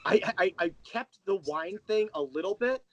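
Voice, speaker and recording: A man's voice: high voice, lively intonation, moderate pace, fairly bright tone, no echo. An American man chatting casually as an interview guest, candid and self-deprecating in a rambling way. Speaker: interview guest, audio drama creator.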